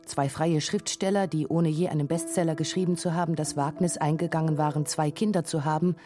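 A woman's voice: deep voice, steady tone, a little fast, music in the background. A woman reading scripted narration for a radio documentary feature.

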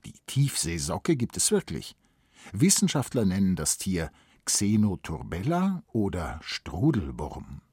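A man's voice: deep voice, huge pitch swings, unhurried, gravelly, a little mellow, husky, no room sound, clean studio sound. A man reading scripted riddle narration for children. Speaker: quiz narrator. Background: music under the voice.

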